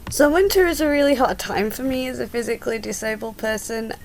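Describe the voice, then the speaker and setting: A woman's voice: on the high side, lively intonation, a little fast. A young woman with a sore throat, chatting casually and a little ramblingly as a vlogger. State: sore throat, coming down with a cold. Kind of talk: casual chat to camera.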